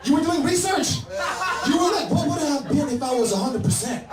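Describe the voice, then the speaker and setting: A man doing stand-up crowd work on a comedy club stage, excited and laughing in mock outrage. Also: very high voice, brisk, over loud music. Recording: echoey club, far mic, loud crowd.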